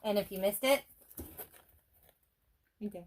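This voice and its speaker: A woman's voice: medium pitch, a little slow. A woman co-hosting an unboxing video, chipping in with short, casual remarks. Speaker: co-host. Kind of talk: casual chat, brief interjections.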